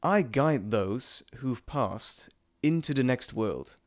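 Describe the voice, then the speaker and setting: A playful trickster god, acted by a man, speaks directly to the listener in a theatrical roleplay monologue. His mid-pitched voice swings widely as he chats and teases, full of mischief. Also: expressive, slow. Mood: grave, explanatory. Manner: theatrical, chatty, teasing. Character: playful, teasing male Greek messenger god, cheeky trickster.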